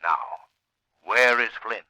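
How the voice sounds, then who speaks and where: An actor playing a menacing, commanding unseen avenger in an old radio mystery, speaking with ominous, taunting deliberateness. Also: male voice, mid-range voice, bright, forceful tone, no echo. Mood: commanding threat.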